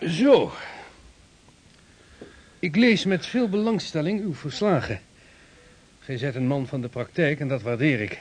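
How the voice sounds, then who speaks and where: A man's voice: on the high side, unhurried, long pauses, darkish tone, husky. An actor playing a formal, authoritative statesman in a period radio drama. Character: stately statesman of a young republic, adult man.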